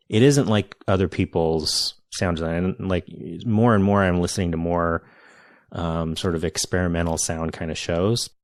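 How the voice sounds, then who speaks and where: A man's voice: on the low side, a little mellow, no echo, studio quality. A man hosting a podcast interview, enthusiastic and searching for words with frequent restarts. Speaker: podcast host. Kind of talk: casual interview.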